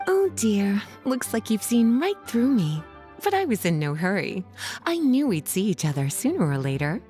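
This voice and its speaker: A woman's voice: medium pitch, sing-song, dark tone, close to an everyday voice. Actress doing a coy elegant lady scholar from a video game, teasing big sister type, really swoopy lilting voice, music under it. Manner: coy, unhurried, musical delivery. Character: teasing, languid, elegant adult woman scholar, affectionate senior.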